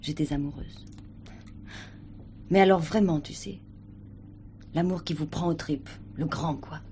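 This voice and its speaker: A woman's voice: sits low, unhurried, dark tone, a little hiss or hum. An actress playing a weary, guarded young showgirl in a stage drama, subdued and evasive. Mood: sad, confiding. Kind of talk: French stage drama acting.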